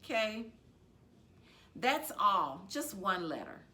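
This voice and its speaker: A woman's voice: medium pitch, big pitch swings, takes it slowly, pushed and bright, heavily acted. A woman voicing a sullen, sarcastic, argumentative teenage girl in a storybook reading, snippy and confrontational.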